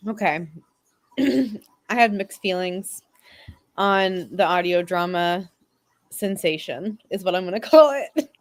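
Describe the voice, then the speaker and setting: A woman's voice: mid-range voice, lively intonation, takes it slowly, long pauses. A woman chatting casually as a panelist and convention staffer. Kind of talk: casual chat.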